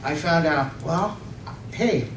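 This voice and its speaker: A middle-aged man preaching and reading scripture in a halting, slightly nervous way, often correcting himself and asking for amens. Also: fairly high pitch, animated, fairly bright tone. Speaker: preacher at a church conference. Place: church hall stage, indoors. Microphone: stand microphone, picked up by far camera. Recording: roomy, distant microphone with faint noise.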